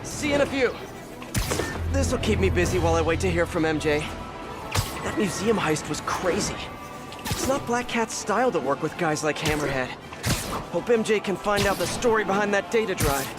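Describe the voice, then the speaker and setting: A man's voice: sits high, animated, normal speed, loud music behind the voice. An actor playing a wisecracking, eager young American male superhero in a video game, with a casual delivery. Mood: wry, breezy. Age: young adult.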